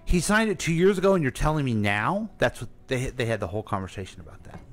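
A man's voice: medium pitch, very animated, quick pace, faint music behind. Joking American man co-hosting radio. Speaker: radio co-host. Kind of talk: casual banter.

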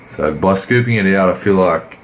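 A man, croaky from a cold, giving laid-back, rambling voice-over commentary on his workout. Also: sits low, moderate pace, a little hiss or hum. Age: 20s. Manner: laid-back, rambling, many fillers and restarts. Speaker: fitness vlogger.

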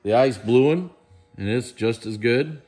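Middle-aged male auctioneer, casual and enthusiastic, mid-pitched voice, camera mic in a big warehouse room. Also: a little slow, warm tone, soft background music. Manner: enthusiastic, chatty, praises pieces. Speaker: auctioneer presenting lots. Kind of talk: casual walkthrough of auction items. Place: large indoor warehouse room.

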